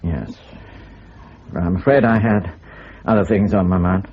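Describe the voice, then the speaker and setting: Embattled British officer in radio drama: defensive, terse, husky and lowish, slightly dull sound. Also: male voice, a little slow, exaggerated voice. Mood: curt, weary. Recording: muffled radio drama recording.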